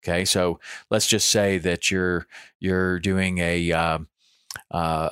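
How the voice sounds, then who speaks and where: Low-voiced man in his fifties. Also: no room sound, studio quality. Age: 50s. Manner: conversational, with frequent ums and restarts. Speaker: podcast host. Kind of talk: casual solo podcast monologue.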